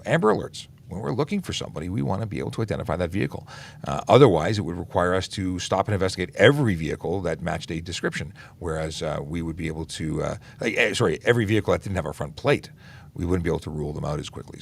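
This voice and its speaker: Deep-voiced, gravelly police officer. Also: male voice. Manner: relaxed, many "uh" fillers and restarts. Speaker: police officer hosting a traffic Q&A livestream. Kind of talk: casual livestream Q&A, reading and answering viewer questions.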